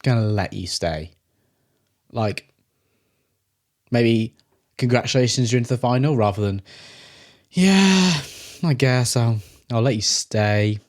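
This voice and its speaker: A man's voice: mid-range voice, expressive, slow, long pauses. Sarcastic, sweary man reacting to clips. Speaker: reaction video host. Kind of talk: casual reaction commentary.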